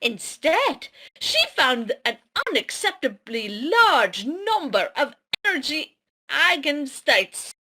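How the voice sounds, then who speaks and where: A woman playing an earnest young female scientist in an amateur anime-style drama read, with deliberate, dramatic delivery. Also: sits high, bright, forceful tone, heavily acted.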